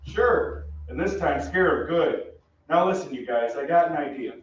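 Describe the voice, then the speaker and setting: An actor playing an amiable, wisecracking American soldier, slangy and emphatic, in old-time radio style. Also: male voice, on the high side, expressive, moderate pace, close to an everyday voice. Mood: scheming. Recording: echoey stage, distant mic, muffled, faint noise.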